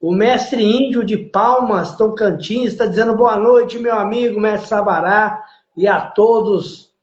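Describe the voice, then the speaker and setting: A man's voice: sits high, a little slow. A man hosting a podcast, introducing guests formally and with emphasis. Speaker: podcast host. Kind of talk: live podcast interview. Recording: roomy, dull lo-fi sound.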